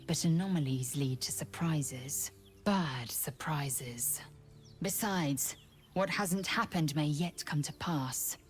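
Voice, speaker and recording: A woman's voice: deep voice, slow pace, faint music behind. An actress voicing a haughty, blunt alien warrior woman in a video game, speaking in a condescending, commanding way. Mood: stern.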